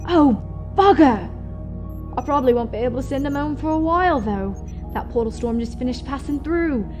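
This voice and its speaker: Woman acting a high-voiced, plucky British pony. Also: animated, music in the background. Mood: flustered. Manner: brisk, quaint British turns of phrase. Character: plucky, busy female pony timekeeper, quaintly British. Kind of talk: audio drama acting.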